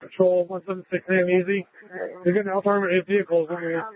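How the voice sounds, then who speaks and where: High-voiced policeman on tinny radio. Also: flat delivery, quick pace, no echo. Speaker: police officer. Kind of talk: police radio dispatch traffic. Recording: tinny, band-limited police radio scanner.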